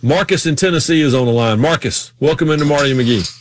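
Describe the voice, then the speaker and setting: A man's voice: fairly high pitch, fast talker, slight background noise. A man co-hosting a sports radio show, chatting casually and telling anecdotes in a self-deprecating way.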